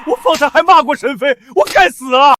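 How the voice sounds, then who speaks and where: An actor playing a humble middle-aged miner who speaks with deep deference. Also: male voice, fairly quick, shouting, a loud crowd.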